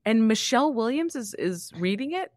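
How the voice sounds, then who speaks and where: A woman co-hosting a podcast in casual chat, dropping wry, deadpan asides. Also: fairly high pitch, normal speed, dry recording, studio quality. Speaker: podcast co-host.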